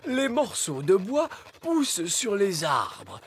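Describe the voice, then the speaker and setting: An actor voicing a chatty, gluttonous gnome in a cartoon, long-winded and pleased with himself, with a husky voice. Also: mid-range voice, wildly swooping pitch, takes it slowly, slight background noise. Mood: self-satisfied, chatty. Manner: long-winded, self-satisfied, talkative. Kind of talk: animated cartoon acting.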